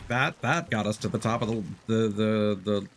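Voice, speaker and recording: A man casually explaining game mechanics on a gaming stream in a halting, rambling way. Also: lowish voice, creaky, slight background noise.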